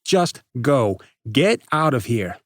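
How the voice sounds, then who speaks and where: A man's voice: on the high side, wildly swooping pitch, measured pace, a bit bright, breathy edge, no echo, studio quality, voice pushed up, exaggerated voice. A man voicing a cruel, taunting teenage boy who talks in a cold, hard, sneering way.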